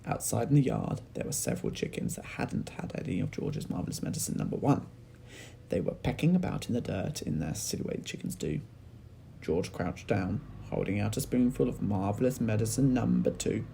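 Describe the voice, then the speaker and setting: A man with an English accent reading a children's story aloud like a teacher, in animated narration. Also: on the low side, brisk, creaky, slight background noise. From England.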